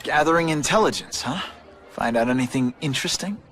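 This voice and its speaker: A man's voice: on the high side, expressive, fairly quick, fairly bright tone. A man playing a young, wisecracking but earnest superhero in a video game, quippy and informal. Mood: probing. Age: young adult. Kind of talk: video game cutscene acting and hero monologue. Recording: clear noise behind the voice.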